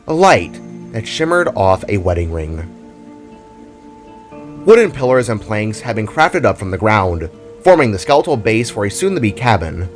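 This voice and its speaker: A man narrates a dramatic tale in a fairly low voice that moves up and down in animated swings. His delivery is theatrical, like a storybook being read aloud. Soft music plays underneath. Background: background music.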